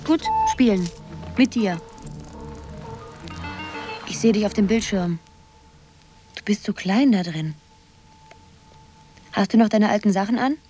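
A woman's voice: mid-range voice, slow pace, pauses often, mellow, lightly acted. An actress as a dreamy young woman gamer, music behind. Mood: absorbed. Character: Zoe, dreamy young woman absorbed in a computer game. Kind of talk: radio drama acting.

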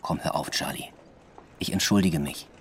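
A man's voice: rumbling low, normal speed, gravelly, a little hiss or hum. A man acting a sober police detective in a radio drama, with dry, matter-of-fact, clipped delivery. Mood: apologetic. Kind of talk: German radio drama acting, naturalistic dialogue.

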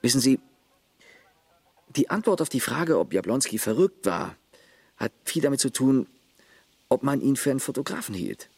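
A man's voice: medium pitch, very animated, normal speed, vocal fry, long pauses, a little mellow, slightly rough, dry recording, heavily acted. An actor playing a terse village man who looks after his grandfather, matter-of-fact in a radio play. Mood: storytelling.

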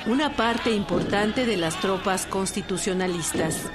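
A woman's voice: mid-range voice, unhurried, a crowd in the background. A woman with a Mexican accent giving scripted documentary narration for a radio production. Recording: radio production with music bed.

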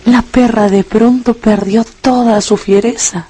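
A woman's voice: on the high side, steady tone, a little slow, warm tone, slight background noise. A woman narrating a dramatised story for radio from a script. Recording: music under the voice.

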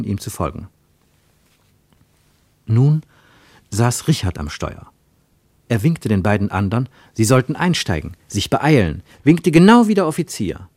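A man narrating a literary radio play in a measured, deliberate storytelling way. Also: on the low side, darkish tone, no echo.

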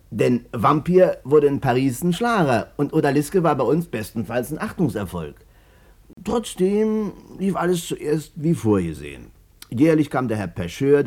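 Chatty, husky, lively Berlin storyteller. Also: male voice, mid-range voice. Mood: explaining eagerly. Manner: colloquial, animated, self-justifying. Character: chatty, cocky Berlin businessman telling his amorous past. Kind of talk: 1950s German radio drama, storytelling monologue.

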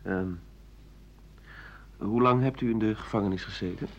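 An actor playing a polite, hesitant interviewer putting questions in a radio drama. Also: male voice, on the low side, moderate pace, lightly acted. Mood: hesitant, inquiring. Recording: muffled, noisy, humming radio recording.

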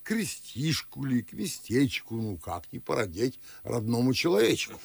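An actor playing a fussy, fawning elderly Moscow gentleman with a gravelly, husky voice in a classic radio play. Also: mid-range voice, big pitch swings, a little slow, no room sound, exaggerated voice. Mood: flattering. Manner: fawning, fussy, chuckling host.

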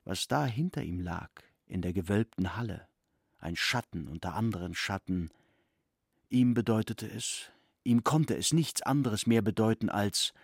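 Gravelly, low-pitched male narrator. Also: no room sound, studio quality. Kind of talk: literary narration in a radio play.

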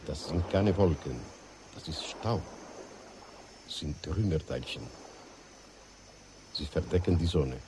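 Casting: somber Spanish eyewitness of war, low register, unhurried grave report, clear background noise. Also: male voice. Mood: somber, foreboding. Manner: measured, grave, pausing. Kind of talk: radio drama, eyewitness report. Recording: clearly noisy, ambient background sound.

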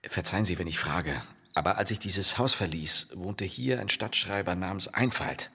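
A melancholy wanderer come home, in a radio play. He is polite, hesitant and wistful, with a fairly low voice. The recording has long reverb and faint noise. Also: normal speed, pushed and bright. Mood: wistful, apologetic. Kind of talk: German radio play acting.

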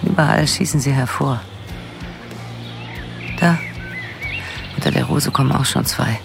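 Brooding, low-voiced woman gardener. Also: measured pace, vocal fry, over music, full character voice. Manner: brooding, musing to herself. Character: brooding, bitter woman gardener watched by her neighbours. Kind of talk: radio drama inner monologue.

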